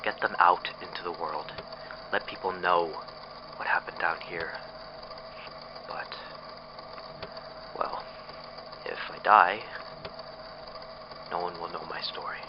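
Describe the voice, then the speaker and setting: An actor playing a frightened, bitter captive test subject recording an escape diary in an audio drama, speaking in a halting, hesitant way. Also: male voice, low voice, fairly even intonation. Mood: tense, resolved. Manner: halting, hesitant, breathy sighs. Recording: muffled lo-fi tape with clear noise.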